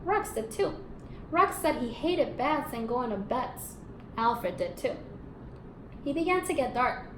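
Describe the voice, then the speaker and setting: A woman's voice: sits high, big pitch swings, unhurried, long pauses. A woman teacher reading a storybook aloud to young pupils, expressively, prompting them with questions and affirming answers. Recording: roomy echo, faint background noise.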